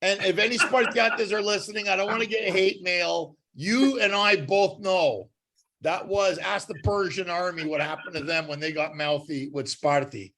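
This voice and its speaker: Man, Canadian accent, co-host, fairly high voice, jokey, rambling. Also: moderate pace, bright, forceful tone. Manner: wisecracking, rambling with restarts. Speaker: podcast co-host. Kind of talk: casual joking chat.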